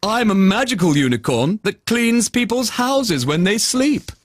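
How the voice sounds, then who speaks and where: Man as storybook unicorn, kindly, calm, chipper, chatty house-cleaner, raised animated pitch. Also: measured pace, no room sound, clean studio sound, full character voice. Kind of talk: children's bedtime story reading.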